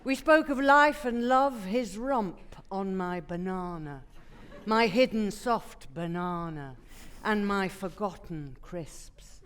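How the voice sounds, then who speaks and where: A woman's voice: medium pitch, slow, fairly bright tone, smooth. A woman actor reciting a comic poem on a theatre stage. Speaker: actor reading poetry. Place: theatre stage before an audience.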